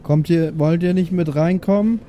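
Man as a mellow, cheeky, pestering prisoner. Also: a little high, moderate pace, muffled recording, a little hiss or hum, close to an everyday voice. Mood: playful. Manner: hesitant, meandering, politely insistent. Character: chatty, cheekily polite male prisoner pestering his guards.

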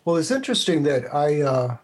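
An older man with a husky, slightly rough voice shares stories as a guest. He speaks casually and haltingly, circling back as he goes. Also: on the high side, sing-song. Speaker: podcast guest, radio producer. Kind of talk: casual storytelling.

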